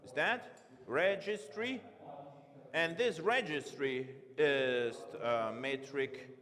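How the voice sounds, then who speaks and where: A man's voice: fairly high pitch, fairly bright tone. Man live-coding on a big stage. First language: not Romanian. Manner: halting, self-correcting, thinks aloud while typing. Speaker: conference speaker. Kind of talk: live-coding tech talk. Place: large conference stage with screen. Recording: long reverb of a big hall.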